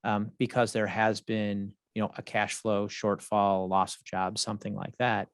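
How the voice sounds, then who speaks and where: A man hosting a podcast, reading the intro and disclaimers in a measured way and putting questions to a guest. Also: on the low side, little pitch movement, clear, resonant tone, no echo, studio quality. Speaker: podcast host.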